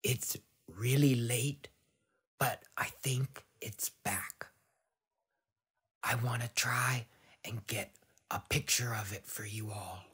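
An actor playing a jittery camper in a found-footage horror short, talking haltingly to a camera. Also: medium pitch, fairly even intonation, a bit bright, breathy edge, heavily acted. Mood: hushed, wary. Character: jittery camper filming alone, increasingly frightened. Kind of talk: found-footage horror acting, whispered asides to viewers.

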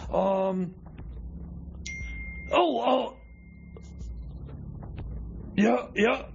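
A man's voice: very high voice, extremely slow, vocal fry, slight background noise, exaggerated voice. A man playing a flustered, scatterbrained radio sidekick in an improvised comedy skit, stammering and repeating himself. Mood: excited, flustered. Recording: muffled radio recording, music behind.

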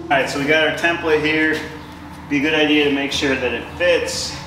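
A man's voice: a little high, steady tone, brisk, heavy background noise. A man narrating a DIY renovation vlog in a casual, informal way, explaining things hands-on as he works. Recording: echoey room, music underneath.

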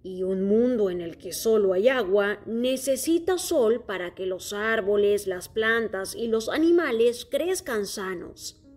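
A woman voicing a wise, worried king from another planet in a children's story, speaking slowly and earnestly. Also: medium pitch, lively intonation, a little mellow. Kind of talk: storybook character voice.

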